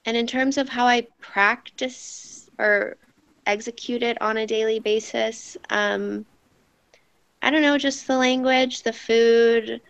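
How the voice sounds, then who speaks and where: A woman's voice: fairly high pitch, steady tone, slow pace, fairly bright tone, clear, resonant tone, no echo, rough recording. A young American woman speaking reflectively in a casual group discussion, often saying "like". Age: young adult. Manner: reflective, frequent "like". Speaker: youth panel participant.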